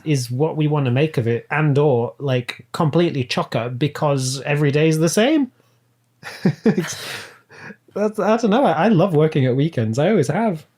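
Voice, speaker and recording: A man chatting casually as a podcast guest, animated and playfully dramatic in the way he talks. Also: on the high side. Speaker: podcast guest, writer and audio drama producer. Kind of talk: casual chat.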